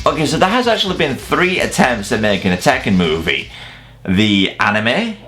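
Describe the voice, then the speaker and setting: A man's voice: mid-range voice, very animated, normal speed, over loud music. A man delivering scripted comedic film commentary as a countdown host, with a sarcastic, casually sweary manner.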